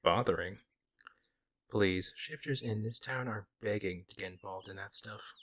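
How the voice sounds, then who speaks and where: Casting: male actor as teasing, protective werewolf shifter, lowish voice, casual playful delivery, intimate audio roleplay. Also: measured pace, fairly bright tone. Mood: reassuring, protective.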